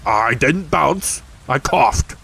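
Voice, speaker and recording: A man voicing a bouncy, boisterous male tiger who talks quickly. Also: fairly low pitch, very animated, pushed and bright, slightly rough, heavily acted, poor audio. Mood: defensive.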